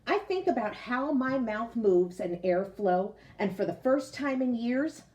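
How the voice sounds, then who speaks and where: A woman reading a picture book aloud to children like a teacher, expressive, pausing to explain and put questions directly to young listeners. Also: fairly high pitch, highs cut off. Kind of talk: storybook read-aloud with explanatory asides.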